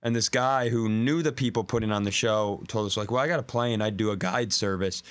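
A man's voice: fairly low pitch, fairly quick. Joking, profane man telling casual stories. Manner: profane, incredulous, riffing asides. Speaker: comedy podcast co-host; stand-up comedian. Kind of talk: casual comedic storytelling and banter.

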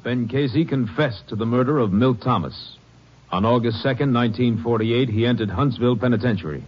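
A man's voice: mid-range voice, a little fast. An American man reading a scripted 1950s radio epilogue as announcer, solemn and formal. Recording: muffled old radio recording, loud music.

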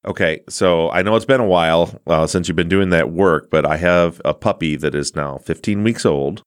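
A man's voice: low-pitched, normal speed, clear, resonant tone, dry recording, clean studio sound. A middle-aged man with a Midwestern accent, hosting a casual podcast interview, chatty and self-deprecating. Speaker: podcast host.

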